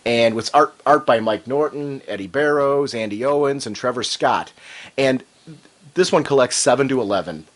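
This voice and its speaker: A man's voice: medium pitch, moderate pace. A man co-hosting a comics podcast, talking casually and reading shop promotions, slightly nasal from a cold. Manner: enthusiastic, chatty. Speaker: podcast co-host. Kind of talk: casual chat and ad reads.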